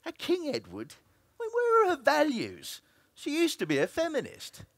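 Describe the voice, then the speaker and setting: A man's voice: very high voice, wildly swooping pitch, moderate pace, fairly bright tone, no room sound, exaggerated voice. A man performing an emphatic, dramatic reading of a comic story as an actor. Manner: theatrical, emphatic delivery.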